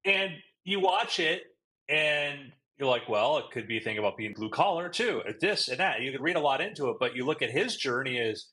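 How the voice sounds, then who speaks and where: Bright-voiced man chatting casually. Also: medium pitch, brisk, low-quality recording. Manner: rambling, animated storytelling. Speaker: podcast co-host. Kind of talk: casual chat.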